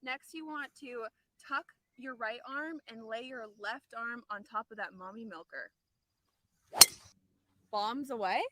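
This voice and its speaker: A man putting on the voice of a sassy woman golf instructor who gives swing tips, sounding high and breathy. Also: a little slow, pauses often, sharp tone, a little hiss or hum, exaggerated voice. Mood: teasing.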